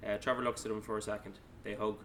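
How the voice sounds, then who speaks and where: A man's voice: fairly low pitch, little pitch movement, quick pace, fairly bright tone, slight background noise. A man narrating stage directions at a table read, plainly, with small stumbles as he reads.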